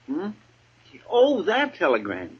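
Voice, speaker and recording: An American man playing a folksy, dutiful, slow-witted deputy in an old-time radio western. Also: high voice, huge pitch swings, exaggerated voice. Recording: muffled, noisy vintage radio recording.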